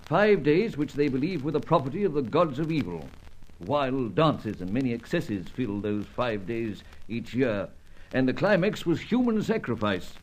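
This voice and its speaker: Urbane spy chief, animated delivery. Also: male voice, medium pitch, normal speed, rough recording. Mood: measured, explanatory. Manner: gentlemanly, hesitant ums, understated and pompous. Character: urbane older British spy chief, ruthless yet jovial. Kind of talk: old-time radio serial acting.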